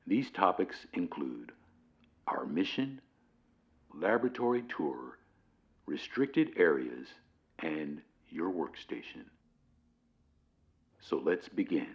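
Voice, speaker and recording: A man playing a calm, formal corporate training guide in an analog horror video, speaking deliberately with measured pauses on a dull lo-fi recording. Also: rumbling low, exaggerated voice. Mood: solemn, grand. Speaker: narrator of fictional company training guide. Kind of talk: scripted instructional narration, analog horror.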